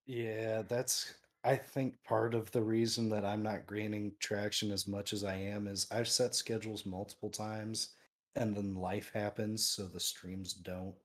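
A man's voice: fairly low pitch, little pitch movement, a little slow. A male streamer guesting on a podcast in easygoing chat, poking fun at himself, with a voice people say is made for radio. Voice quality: voice people call fit for radio. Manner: easygoing, self-deprecating.

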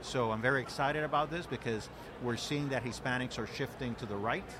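A man from Puerto Rico speaks earnestly in an interview, stopping often on fillers and repeated words. His voice sits at an ordinary pitch. Traffic and street noise run clearly behind him outdoors. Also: brisk. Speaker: guest, political director. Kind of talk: conversational interview. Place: outdoor event.

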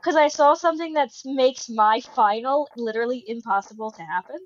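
A woman's voice: a little high, brisk, dull audio. A tired young woman co-hosting a sports podcast who talks in a rambling, hesitant way. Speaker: podcast co-host. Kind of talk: casual sports podcast chat.

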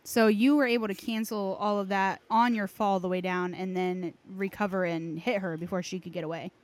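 A woman's voice: mid-range voice, steady tone, normal speed. A woman hosting a casual gaming chat, talking informally and anecdotally with lots of "like". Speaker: podcast host, gamer.

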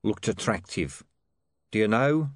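Storybook street huckster, played by a male narrator, middle-aged, shifty, matey, self-excusing, animated pitch, close dry sound. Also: moderate pace, dry recording. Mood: mournful, aggrieved.

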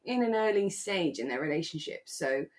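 A woman co-hosting a podcast, talking casually and giving her opinions gently, with hesitant restarts. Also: lowish voice, animated, normal speed. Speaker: podcast co-host. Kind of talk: casual chat reviewing a radio drama.

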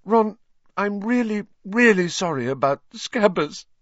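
A man voicing an emotional, bookish teenage girl in a shrill manner for an audiobook. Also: very animated, normal speed, bright, forceful tone, no echo, muffled recording, heavily acted. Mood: sobbing.

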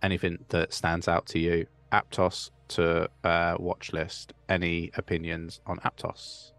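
A man's voice: low-pitched, monotone, unhurried, a bit bright, no room sound, soft background music. A man co-hosting a casual crypto livestream, chatting loosely and reading out questions from the live chat. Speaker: co-host.

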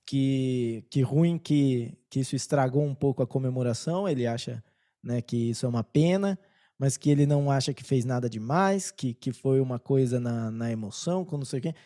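A man with a Brazilian Portuguese accent chatting as a podcast co-host in an informal, slangy, somewhat halting way. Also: medium pitch, warm tone. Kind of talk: casual chat.

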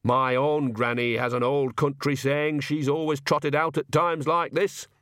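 A man voicing a folksy old country witch in a storybook, chatty, blunt and emphatic, pitched a little up. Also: level tone, a little slow, a bit bright, no room sound, studio quality. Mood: wry, earthy.

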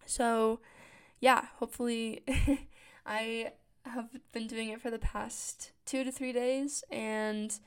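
A woman's voice: fairly high pitch, flat delivery, slow, clean tone. A woman in her late twenties, talking casually about her routines, her voice scratchy from just waking up, often correcting herself and laughing. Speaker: podcast host. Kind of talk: casual personal talk.

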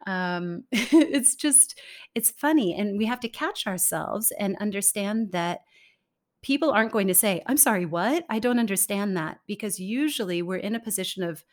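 A woman in her thirties, speaking as an interview guest in a relaxed, animated way and laughing often. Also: mid-range voice, moderate pace. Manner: animated, laughs often, acts out quoted remarks. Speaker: guest, communication expert. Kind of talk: conversational interview.

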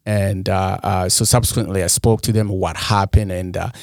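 A low-pitched young man from Rwanda speaks as a podcast guest. He is soft-spoken and halting, often restarting his sentences. The sound is close and dry. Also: fairly even intonation, creaky, no room sound. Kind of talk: personal story in a podcast interview.

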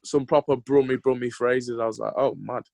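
A man's voice: medium pitch, steady tone, a little fast, vocal fry, a little mellow, dry recording. A male podcast host talks in easy banter with plenty of slang. His voice is recorded dry and close, with no room sound. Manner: laid-back banter with slang. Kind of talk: casual chat.

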